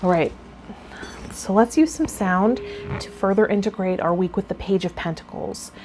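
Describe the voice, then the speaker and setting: A woman's voice: mid-range voice, moderate pace, darkish tone. A woman speaking as a tarot vlogger and guide, explaining and chatting directly to viewers. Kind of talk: reading card meanings, then casual chat to viewers. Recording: clear background noise.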